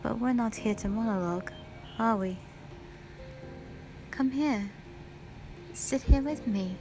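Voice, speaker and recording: A woman playing a gentle, devoted dragon wife in a romantic audio roleplay, speaking tenderly in formal, old-fashioned phrasing. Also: a little high, slow. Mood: loving, earnest. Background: music.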